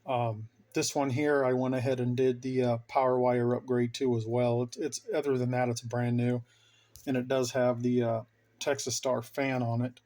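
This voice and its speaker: Casting: middle-aged male hobby vlogger, mid-pitched, informal, rambling show-and-tell delivery. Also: flat delivery, normal speed, mellow. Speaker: hobbyist vlogger showing his radio gear. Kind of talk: casual show-and-tell vlog.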